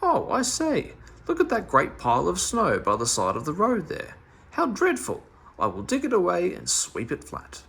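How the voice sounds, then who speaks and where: A fussy, eager little meddler who pleads and frets in a bouncing, raised voice, as a man puts him on. His tone swings widely, with a husky, gravelly edge. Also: sits high, wildly swooping pitch, normal speed, fairly bright tone, pitched above the performer's own voice, heavily acted. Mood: excited.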